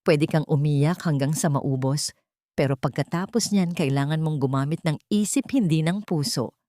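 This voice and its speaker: Gentle protective man in an audio drama, read by a woman, Filipino accent, mellow, steady and earnest. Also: deep voice, moderate pace, dry recording, studio quality, natural voice. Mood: calm, consoling. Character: calm, gentle man giving protective, sensible advice.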